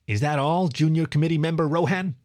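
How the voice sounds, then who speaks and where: A man's voice: on the high side, fairly quick, a little mellow, no echo, studio quality, pitched above the performer's own voice, natural voice. A man voicing a bossy, irritable young feline club leader with a formal, commanding manner in a storybook.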